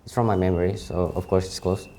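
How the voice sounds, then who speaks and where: A man's voice: sits low, steady tone, mellow. A young man in his late teens, a native Indonesian speaker with an Indonesian accent, giving an informal presentation of his CV and often stopping to correct himself. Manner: halting, frequent self-corrections.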